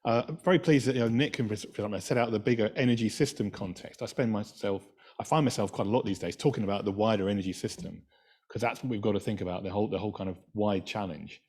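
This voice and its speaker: A man's voice: fairly low pitch, fast, darkish tone, husky. A middle-aged man with a Scottish accent giving an engineering talk from slides, chatty and full of fillers and restarts. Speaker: invited speaker, engineering professor.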